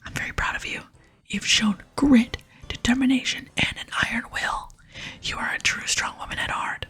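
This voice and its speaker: A woman's voice: sits high, fairly even intonation, normal speed, a bit bright, breathy edge, heavily acted. A woman whispering the game dialogue of a tough, teasing, motivational barbarian trainer in ASMR style. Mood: proud. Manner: blunt, coaching, whispered.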